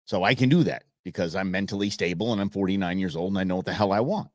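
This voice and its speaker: A man's voice: deep voice, quick pace, dry recording, clean studio sound. Blunt, emphatic man in his forties. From United States. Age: 40s. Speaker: podcast host. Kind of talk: casual political talk.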